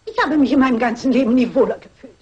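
A woman's voice: high-pitched, very fast, darkish tone, slightly rough. An actress playing a shrewd, composed mature lady sleuth in a German radio crime drama, dry, precise and dignified, in a dull old recording. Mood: calm, accusing.

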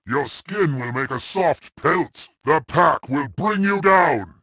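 Gravelly alien brute warrior, slow heavy taunts, dry studio sound. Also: male voice, a little high, no room sound, heavily acted. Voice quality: gravelly, growling menace. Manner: taunting barks and shouted threats. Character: savage male alien brute warrior, boastful hunter. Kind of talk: video game combat voice lines.

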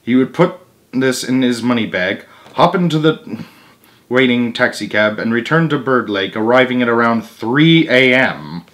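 A young adult man reads a children's book aloud as expressive narration with casual asides, recorded indoors in a living room with the camera a short distance away. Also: mid-range voice, normal speed.

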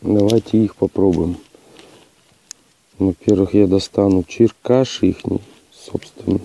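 A man with a hoarse voice from a cold, testing gear outdoors in a plain, matter-of-fact way with hesitant fillers. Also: deep voice, fairly even intonation, measured pace, mellow, dry recording, poor audio. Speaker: outdoor channel host. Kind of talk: casual outdoor gear test. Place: outdoors on a rocky hillside.

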